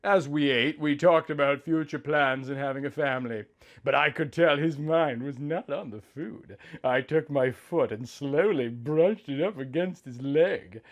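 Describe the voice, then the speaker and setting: A man reading a romantic story aloud in a dramatic, drawn-out, theatrical manner. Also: on the high side. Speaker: narrator reading a fan story. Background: background music.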